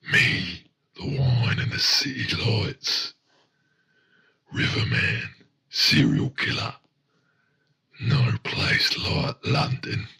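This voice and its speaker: A man voicing a brooding, menacing serial killer in a dark spoken-word monologue, breathy and half-whispered, in slow fragments. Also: mid-range voice, full character voice. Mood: brooding, lonely.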